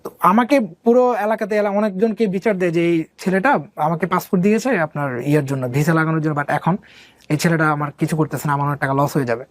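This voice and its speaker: A man's voice: a little high, fast, no echo. A young man from Bangladesh telling his own life story in a casual, rambling way, with many fillers and English words mixed in.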